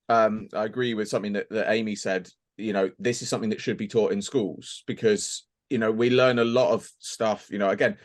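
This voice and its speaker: A man chatting casually on a podcast as a voice actor guest, rambling a little and hesitant as he thinks aloud. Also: lowish voice.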